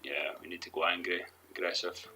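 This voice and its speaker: A young man commentating his own football management game in a rambling, hesitant way, his voice a little hoarse from losing it. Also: low-pitched, little pitch movement, fairly quick, sharp tone. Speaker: gaming commentator. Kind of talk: let's play commentary. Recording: faint background music.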